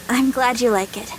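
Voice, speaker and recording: A woman playing a sweet, earnest, easily flustered girlish soldier in a video game voice drama, gentle and shy. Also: high voice, slight background noise, heavily acted, low-quality recording.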